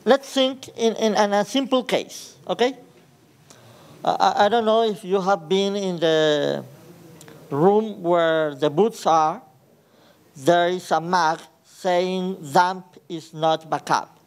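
A man in his thirties with an Ecuadorian Spanish accent presents at a technical conference, speaking unhurriedly in a smooth, clear voice that sits a little high. He pauses often with fillers and restarts as he explains his slides. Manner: hesitant, frequent fillers and restarts. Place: large conference hall with audience.

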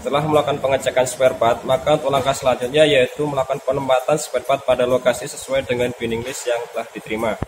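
A man's voice: medium pitch, flat delivery, fast talker, hardly any pauses, roomy sound, background noise. A man methodically walking through warehouse procedures step by step, with frequent hesitations. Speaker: warehouse staff demonstrating procedures. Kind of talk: instructional workplace walkthrough.

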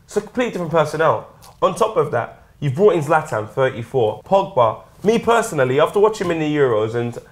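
Young male co-host, relaxed opinionated football banter, studio sofa chat. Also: on the high side, fast. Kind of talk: casual football chat show. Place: studio set with sofas.

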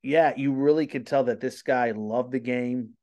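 A man's voice: mid-range voice, steady tone, moderate pace, a little mellow, muffled recording. Casual guy in his 40s hosting a video call chat, rambly and upbeat, studio mic. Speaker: host. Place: small home studio room. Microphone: studio mic on boom arm.

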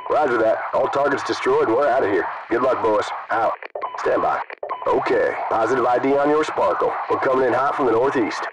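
An actor voicing a gruff military helicopter pilot in a video game, speaking clipped radio commands through a radio filter. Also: male voice, mid-range voice, fairly quick. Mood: businesslike. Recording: noisy radio with aircraft and gunfire din.

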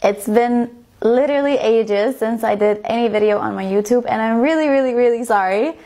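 A woman's voice: on the high side, normal speed, dark tone. A woman in her twenties from Estonia, a native Estonian speaker, telling a personal story casually as a video blogger, chatty and repeating words for emphasis. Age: 20s.

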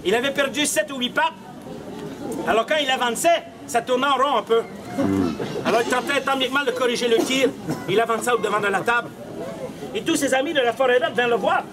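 Street comedian, male, Québécois accent, high theatrical projection, outdoors with noisy crowd. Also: brisk, over music. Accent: Québécois French. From Quebec, Canada. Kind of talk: comic storytelling to a street audience.

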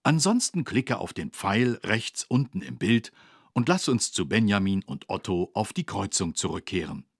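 A man with a low, husky voice narrates for young children. His delivery is lively and encouraging, carefully phrased, and recorded in a dry studio. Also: normal speed, no room sound. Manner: clearly phrased, encouraging, animated. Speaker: narrator of a children's learning game.

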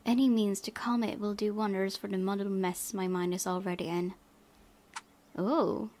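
A woman reading visual novel narration aloud as a let's play host, softly, with casual asides. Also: medium pitch, fairly even intonation, normal speed, a little hiss or hum.

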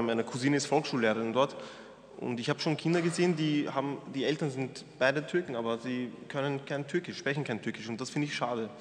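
Frank young man in a reverberant hall. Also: mid-range voice. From Austria. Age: young adult. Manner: earnest, frank, hesitant with fillers. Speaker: panel guest. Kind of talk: panel discussion. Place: wood-panelled hall, indoors. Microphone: table microphone. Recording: reverberant hall with faint noise.

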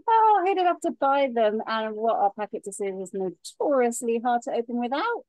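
A woman's voice: a little high, sing-song, moderate pace, darkish tone, dry recording, poor audio. A woman on a video call reading the part of a polite but mischievous young woman, speaking wryly. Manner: wry, playful.

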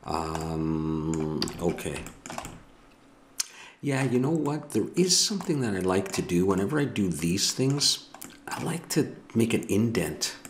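A man's voice: sits low, big pitch swings, takes it slowly, a little hiss or hum. A middle-aged man with an Ottawa Valley Canadian accent, thinking aloud as he works through a 3D modeling tutorial at his desk and correcting himself as he goes. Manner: musing, self-correcting, talks to himself. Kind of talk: thinking aloud while working. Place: home room at a desk.

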